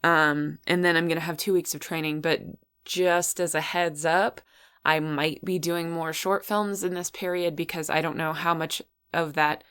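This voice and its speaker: A woman's voice: deep voice, fairly even intonation, normal speed, a bit bright, clear, resonant tone. A woman hosting a solo podcast, talking casually in a rambling, chatty way about what she watches. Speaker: podcast host.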